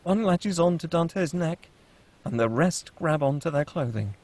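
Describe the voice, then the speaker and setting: A man's voice: a little high, expressive, unhurried, darkish tone. A man reading scripted screenplay action and scene headings as the narrator of a table read. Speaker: narrator reading stage directions.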